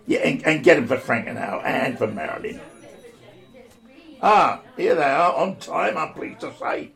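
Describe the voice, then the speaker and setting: A man playing an old pensioner ringleader, bossy and chatty, who slips into rough slang. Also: a little high, big pitch swings, a little slow, fairly bright tone, exaggerated voice. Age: older.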